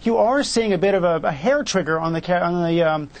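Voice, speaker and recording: Fast-talking high-voiced male panelist. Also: lively intonation. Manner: rapid, chatty, laughs between points. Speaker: market panelist. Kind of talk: TV panel commentary.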